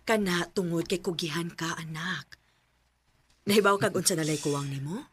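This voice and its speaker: A woman playing a gentle, caring mother who coaxes and teases her son. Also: lowish voice, normal speed, breathy edge. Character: caring middle-aged mother. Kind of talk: amateur radio drama acting.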